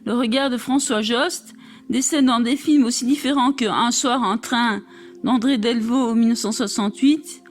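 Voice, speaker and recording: A woman reading a scholarly text aloud in a formal, measured way. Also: a little high, fairly even intonation, soft background music. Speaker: reader of an academic text.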